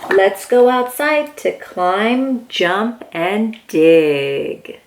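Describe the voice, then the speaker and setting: A woman reading a picture book aloud to young children as a host, with excited, encouraging exclamations. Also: mid-range voice, extremely slow, a little hiss or hum.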